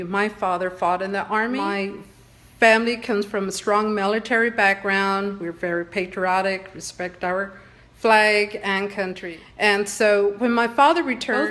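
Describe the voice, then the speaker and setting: A woman from Texas giving a prepared, earnest personal presentation in a measured, slightly read-aloud way. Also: medium pitch, a bit bright. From Texas, San Antonio. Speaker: presenter sharing family background.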